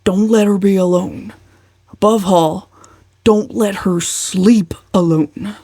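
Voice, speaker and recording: A woman's voice: medium pitch, steady tone, slow pace, mellow, no echo, clean studio sound. A woman voicing a bossy, irritable old uncle who growls and snaps bluntly in an audiobook.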